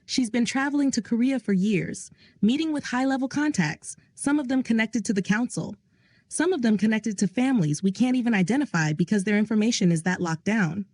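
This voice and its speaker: A grim male aide delivers a report in a clinical, matter-of-fact tone, as a woman narrator puts him on. His lines are brisk briefings, and the sound is dry and close. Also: fairly high pitch, expressive, a little mellow, dry recording, voice pushed up. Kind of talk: audio drama reading.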